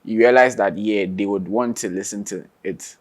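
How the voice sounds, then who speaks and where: A young man talks through an interview in a relaxed, easygoing way. His voice sits low, and he laughs often and restarts his sentences as he thinks. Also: normal speed. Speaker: singer and guitarist guest. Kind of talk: casual interview chat.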